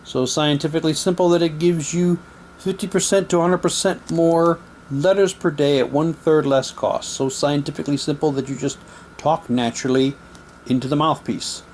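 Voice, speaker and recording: A man casually reading printed material aloud in a halting way, with muttered asides to himself. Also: a little high, steady tone, a little mellow, a little hiss or hum. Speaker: unboxing presenter. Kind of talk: casual unboxing, reading old newspaper props aloud.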